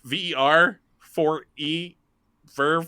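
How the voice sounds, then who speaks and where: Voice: mid-range voice, steady tone, takes it slowly, pushed and bright, clean tone, no room sound, studio quality. A player improvising a jokey, casual scene in a tabletop roleplaying game.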